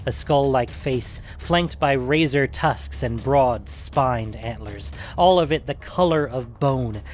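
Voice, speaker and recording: Male storyteller, mid-range voice, suspenseful measured delivery, scripted fantasy narration, clear musical backing. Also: medium pitch, faint music behind.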